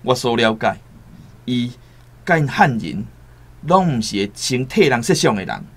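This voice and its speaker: A Taiwanese-accented actor playing a cautious, worldly returned seafarer in a radio drama, solemn and deliberate. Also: male voice, medium pitch, very animated. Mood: grave, cautious. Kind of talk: radio drama acting with first-person storytelling. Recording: music behind the voice.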